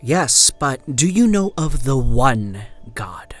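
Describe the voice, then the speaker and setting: A man voicing a zealous, bright-eyed woman evangelist in a horror audio drama, insistent and preachy. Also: medium pitch, very animated, slow pace, soft background music, voice pushed up. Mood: fervent.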